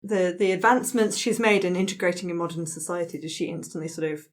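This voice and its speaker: A woman's voice: lowish voice, fast talker. A woman who plays on a roleplay podcast, talking casually in a group discussion. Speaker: tabletop roleplay podcast player.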